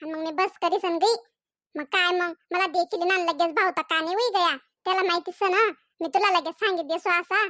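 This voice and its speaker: A woman's voice: extremely high pitch, fairly even intonation, a little fast, pushed and bright, no room sound, clean studio sound. A woman voicing a scolding, jealous young village woman in a comedy cartoon, nagging and accusing in a Khandeshi Ahirani accent. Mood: indignant. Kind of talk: comedy cartoon dialogue in dialect.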